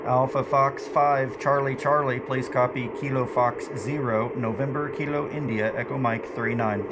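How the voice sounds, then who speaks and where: A man's voice: mid-range voice, fairly even intonation, moderate pace. A man in his forties working as an amateur radio operator in a clipped, procedural way, indoors in a living room. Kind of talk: radio call signs in phonetic alphabet. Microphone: camera a short distance away. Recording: loud radio static and hiss. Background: radio noise.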